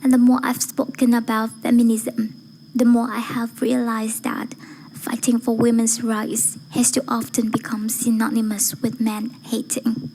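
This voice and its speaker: A woman with a breathy, whispery voice reciting a formal speech in a measured, deliberate way, phrase by phrase. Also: a little high, level tone, darkish tone, slight background noise, poor audio. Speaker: amateur voice-acting student reciting a speech.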